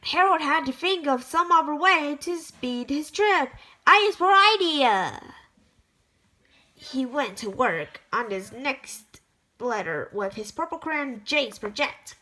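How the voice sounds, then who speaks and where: A woman reading a children's picture book aloud, storytelling with lively emphasis and the occasional stumble. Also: high-pitched, slow, rough recording.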